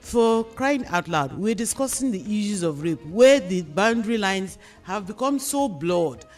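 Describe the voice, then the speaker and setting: A woman's voice: medium pitch, very animated, a little slow, darkish tone, faint music behind. A mature woman with a Nigerian accent hosts a radio panel, speaking in formal, emphatic phrases and addressing her listeners. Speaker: radio discussion host.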